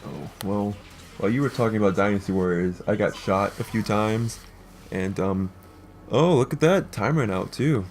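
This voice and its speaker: A man's voice: fairly low pitch, normal speed, darkish tone, slight background noise. A man co-hosting a gaming video with casual, sarcastic banter, teasing his partner.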